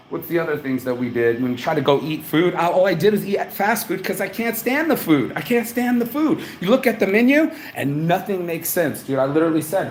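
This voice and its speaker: A man's voice: a little high, a little hiss or hum. A man from New Orleans giving a blunt, rambling, profane live travel update from a hotel room. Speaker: vlogger. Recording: echoey room with faint ambience.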